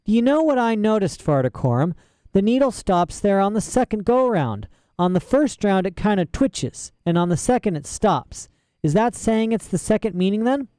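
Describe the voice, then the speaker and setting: A man's voice: high-pitched, sing-song, normal speed, mellow, clear, resonant tone, no room sound, voice pushed up. A man doing an eager curious young girl. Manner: breathless, questioning, rustic grammar.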